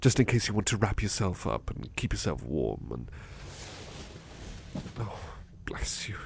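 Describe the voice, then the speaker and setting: A man playing a gentle, anxious, sleepy butler in a soft-spoken ASMR roleplay, hesitant and apologetic as he stammers. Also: low voice, sing-song, a little slow, gravelly, full character voice. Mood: grateful, affectionate. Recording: faint background noise.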